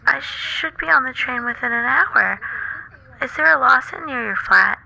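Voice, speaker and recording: A woman playing a sweet, doting young anime girlfriend in a soothing ASMR roleplay, affectionate and gently coaxing. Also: high voice, expressive, moderate pace, gravelly, exaggerated voice, poor audio. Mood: gentle, practical.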